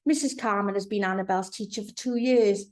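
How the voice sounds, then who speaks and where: Woman, children's story narration, expressive, medium-pitched, storyteller delivery. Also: fairly quick. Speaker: author reading own children's book.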